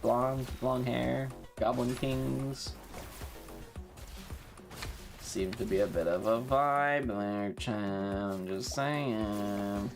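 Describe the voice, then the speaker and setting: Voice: medium pitch, drawn-out words, gravelly. A streamer with an androgynous voice talks unhurriedly while playing a game live. The delivery is casual and sweary, with self-mocking slang. Faint music plays behind the voice.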